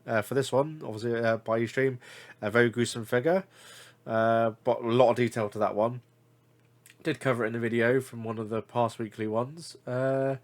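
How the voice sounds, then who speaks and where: Congested casual male vlogger. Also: mid-range voice. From United Kingdom. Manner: rambling, many fillers and self-corrections. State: congested, blocked nose. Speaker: figure collector reviewing deals. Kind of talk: casual screen-share commentary.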